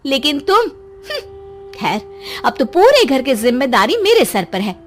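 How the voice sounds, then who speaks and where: A woman voicing a sharp-tongued young wife in a cartoon story, scolding and mocking. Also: high voice, big pitch swings, measured pace, slight background noise.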